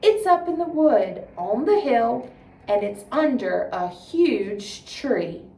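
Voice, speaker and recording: Woman playing a crafty, bossy farmer, swooping high. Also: very animated, slow, mellow, full character voice. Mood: smug. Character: crafty, bossy male storybook farmer, scheming. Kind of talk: children's storybook reading. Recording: roomy, echoing, distant microphone.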